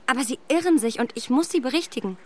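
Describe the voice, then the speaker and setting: An actress playing a proud, candid young gentlewoman in a radio drama, polite and articulate as she reproaches herself. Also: high-pitched, expressive, fast talker. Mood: earnest, confessional. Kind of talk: German radio drama acting of a classic novel.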